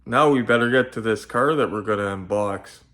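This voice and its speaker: A man's voice: on the low side, moderate pace, fairly bright tone. A man with a nasal voice, chatting informally to viewers as he hosts a casual unboxing vlog. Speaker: video host and collectibles unboxer. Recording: roomy, echoey sound.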